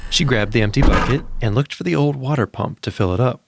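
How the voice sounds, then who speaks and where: A man narrating a scripted story as a podcast storyteller, in a gentle storybook way with deliberate phrasing. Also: mid-range voice, gravelly, noisy background. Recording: music behind the voice. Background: music.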